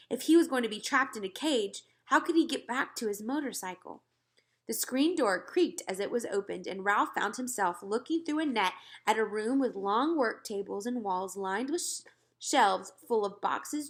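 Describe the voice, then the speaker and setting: A woman narrating a children's book aloud in an expressive storytelling style. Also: medium pitch.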